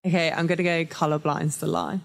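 A woman's voice: deep voice, flat delivery, fairly quick, vocal fry. A woman hosting a podcast in a studio, reading a scripted intro and then chatting in a bubbly way with frequent laughs. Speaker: podcast host. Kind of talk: casual chat with scripted intro. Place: recording studio.

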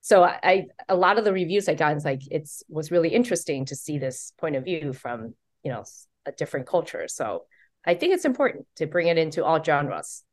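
A middle-aged woman from Taiwan talks about her life and work in a casual interview. Her voice sits fairly low, and she tells her stories in an animated way, jumping way up in pitch when she acts out what other people said. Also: brisk. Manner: animated, excited storyteller who acts out quotes. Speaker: author and guest.

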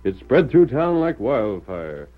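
A man's voice: mid-range voice, sing-song, a little slow, soft background music. A man reading dramatic scripted narration for an old-time radio western. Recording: muffled vintage radio recording.

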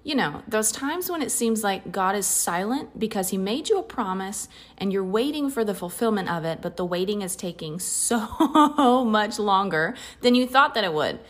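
Woman, podcast host, earnest, conversational, mid-pitched voice. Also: expressive, moderate pace, few pauses. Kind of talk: faith podcast intro and interview.

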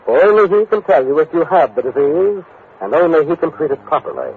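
Animated American radio announcer, husky, tinny. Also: male voice, on the high side, brisk. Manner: emphatic, formal, earnest. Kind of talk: 1950s scripted radio announcement. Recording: tinny, phone-like vintage radio recording.

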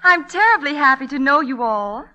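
A woman's voice: sits high, lively intonation, moderate pace, sharp tone. An actress playing a sweet, naive young woman, girlish and enthusiastic, in a 1940s radio comedy. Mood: gushing. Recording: vintage radio broadcast recording.

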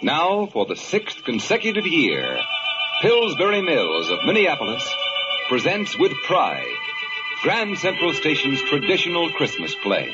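An American man announces and narrates in grand old-time radio style. His pitch swings widely, over loud music, on a muffled vintage broadcast recording. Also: wildly swooping pitch, a little slow. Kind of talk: dramatic 1940s-50s American radio narration.

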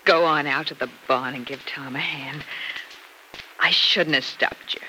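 A woman's voice: deep voice, fairly even intonation, measured pace, bright, forceful tone, full character voice, rough recording. An actress playing a brash, slangy working woman with an American accent, blunt and teasing, in a classic radio drama. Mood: yearning, pointed. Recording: old radio recording, faint noise.

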